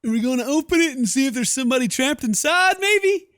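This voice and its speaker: A man hosting a gaming video, reading game text aloud and commenting in a sarcastic, animated way on a close mic. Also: very high-pitched, fast, no room sound. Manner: sarcastic, animated when incredulous.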